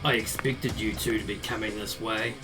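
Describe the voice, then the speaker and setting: A man's voice: fairly low pitch, fairly even intonation, moderate pace, natural voice. A man voicing a cold, smug schoolboy turned vengeful killer in a visual novel, with a smirking, menacing manner. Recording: distant voice under loud music.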